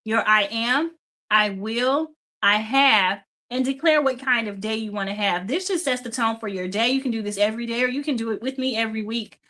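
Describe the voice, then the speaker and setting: A woman's voice: a little high, fairly quick, sharp tone. A middle-aged woman hosting a live faith and business stream, chatty and encouraging, says y'all, greets viewers by name, and pushes through a strained voice she is close to losing.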